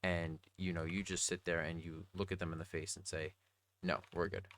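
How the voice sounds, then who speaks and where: A young adult man with a slightly raspy voice, talking casually and earnestly in a rambling, slangy video diary. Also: low-pitched, little pitch movement, moderate pace, a bit bright. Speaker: vlogger.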